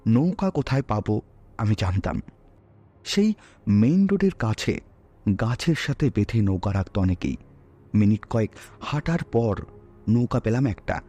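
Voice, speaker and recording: A man telling a scripted horror story in a dramatic, suspenseful way with deliberate pauses, as a storyteller. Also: mid-range voice, mellow, husky. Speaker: storyteller on a ghost story show. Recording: music bed under the voice. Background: music.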